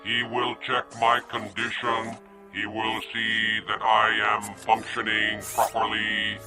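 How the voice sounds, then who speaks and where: A flat, slow-talking robot. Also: male voice, fairly low pitch, music in the background. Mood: insistent. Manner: flat, measured, emotionless delivery. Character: slow, literal-minded male robot, childlike and insistent. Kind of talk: radio drama acting.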